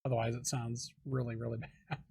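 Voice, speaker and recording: Husky-voiced man hosting a podcast. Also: level tone, mellow. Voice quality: lower voice. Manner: easygoing, quick affirmations. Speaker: podcast host. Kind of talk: casual podcast interview.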